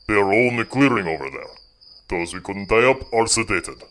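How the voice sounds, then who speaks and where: Obedient male team marksman man acting for audio drama. Eager, literal, apologetic, very lively intonation. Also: lowish voice, brisk. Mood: reporting.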